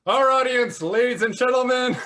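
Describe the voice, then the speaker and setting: A man's voice: very high-pitched, normal speed, sharp tone. A man playing a smug, flippant internet conspiracy grifter who mocks theatrically in a one-act play. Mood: gleeful, mocking. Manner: sarcastic, mocking, theatrical.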